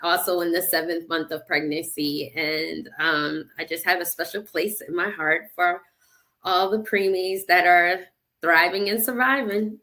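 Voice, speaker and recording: Female guest, lower-pitched, slow and thoughtful, shares her journey with a bright, clear tone. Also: fairly even intonation, rough recording. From United States (Connecticut). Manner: slow, reflective, faith-centered telling. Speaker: podcast guest. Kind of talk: personal storytelling in conversation.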